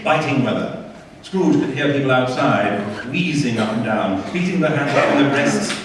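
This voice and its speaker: A man narrating a scripted tale on a theatre stage in a theatrical, emphatic storytelling manner. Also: mid-range voice. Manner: dramatic, emphatic delivery. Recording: echoey hall, distant mic, faint noise.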